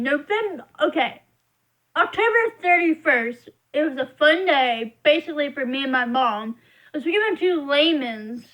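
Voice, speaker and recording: A woman's voice: sits high, fairly bright tone, poor audio. A young woman vlogging to camera, rambling as she complains in frustration. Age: young adult. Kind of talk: casual venting monologue.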